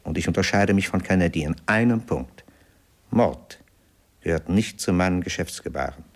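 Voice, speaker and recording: An actor playing a smooth, calculating male gangland boss in a German radio crime drama, with a suave, condescending, measured manner. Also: low-pitched, animated. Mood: smooth, persuasive.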